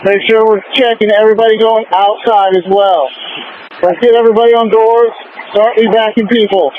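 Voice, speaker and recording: A man's voice: sits high, steady tone, brisk. A man, an emergency responder, giving clipped directives and updates over radio. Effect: radio transmission. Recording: muffled, faintly noisy police radio.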